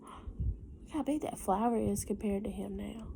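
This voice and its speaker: A woman teacher reading a picture book aloud to young children, with chatty asides and questions, in an expressive, gentle teacherly manner. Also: medium pitch, measured pace, gravelly, a little hiss or hum.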